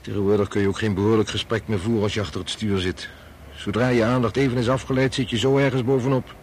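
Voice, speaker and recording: An actor playing a level-headed, sober friend who talks in a cool, terse, quietly challenging way in a Dutch radio drama. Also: male voice, fairly low pitch, little pitch movement, fast talker, a little hiss or hum, close to an everyday voice. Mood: sober, probing.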